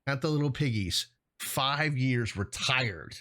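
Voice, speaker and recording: A man's voice: mid-range voice, expressive, slow, a bit bright. A middle-aged man hosting a show in casual chat, rambling a little with a jokey, hesitant delivery. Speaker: show host.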